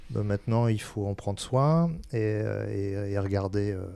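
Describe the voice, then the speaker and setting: Gravelly, mellow man explaining. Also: lowish voice, steady tone, unhurried. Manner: hesitant, searching for words, candid. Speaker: senior local-government administrator, interview guest. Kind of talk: explanatory interview talk.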